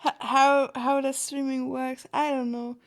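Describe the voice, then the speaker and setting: Slow-paced, high-voiced excitable woman streamer. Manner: excitable, giggly. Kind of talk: casual livestream chat.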